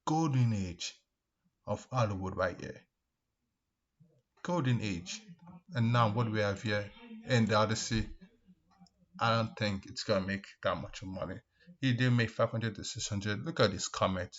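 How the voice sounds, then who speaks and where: A man giving casual opinion commentary as a video host, speaking in an emphatic, repetitive way with halting restarts. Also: on the low side. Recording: dull, lo-fi microphone sound.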